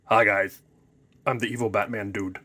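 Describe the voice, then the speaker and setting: A man doing a loud, cheery undead ghoul. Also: fairly low pitch, fairly even intonation, moderate pace, very noisy, natural voice. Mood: proud. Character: undead male ghoul, cheery and self-announcing.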